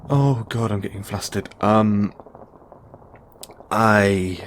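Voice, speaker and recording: A man's voice: fairly low pitch, slow pace, slight background noise. A man playing a kindly middle-aged father in an audio roleplay, nervous, awkward and stammering. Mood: flustered.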